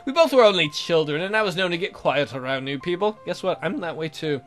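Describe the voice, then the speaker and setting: A man's voice: a little high, expressive, fairly quick, fairly bright tone, soft background music. A man hosting a let's play, reading a visual novel aloud in a jokey, theatrical, exaggerated way with comic asides.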